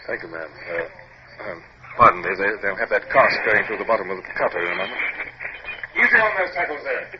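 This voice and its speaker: An actor playing a stern, formal British naval captain with a clipped, commanding manner in an old radio drama. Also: male voice, on the low side, expressive, a little fast, lightly acted. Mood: awkward, reserved. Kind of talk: 1950s radio drama acting with first-person narration. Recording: muffled, noisy old 1950s radio recording.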